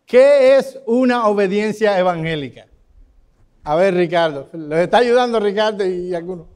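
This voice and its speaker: A man's voice: high-pitched, expressive, normal speed, darkish tone, clear, resonant tone. Cuban man teaching a Bible class. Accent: Cuban Spanish. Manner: questions the class, calls on students by name. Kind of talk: interactive church Bible class.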